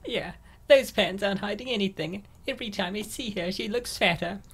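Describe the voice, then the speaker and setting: A young woman acted by a woman in a comic dub, speaking at a middle pitch. She comes across as a gossipy but concerned friend. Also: fairly quick, husky. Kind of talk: amateur comic dub acting.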